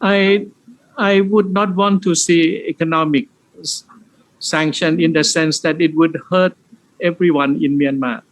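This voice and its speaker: A man's voice: a little high, fairly even intonation, measured pace, poor audio. A man giving a firm, emphatic political answer at a press conference.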